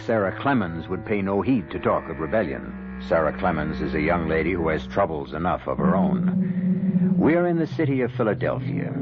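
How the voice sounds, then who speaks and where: A man hosting and narrating a scripted radio drama with theatrical, deliberate phrasing. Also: low voice. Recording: dull vintage radio recording. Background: music.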